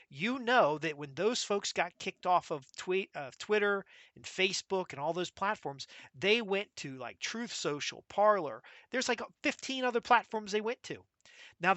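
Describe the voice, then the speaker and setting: Bright-voiced American man hosting a podcast. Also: high voice, no room sound. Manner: brisk, emphatic, lists things off. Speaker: podcast host. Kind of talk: solo business podcast talk.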